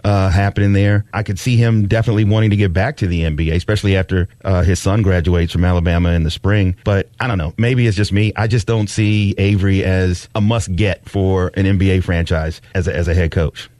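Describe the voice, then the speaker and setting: A man's voice: deep voice, steady tone, fairly quick, hardly any pauses, darkish tone, dry recording. A man hosting sports talk radio, giving opinionated, conversational, unscripted commentary. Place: dry radio studio.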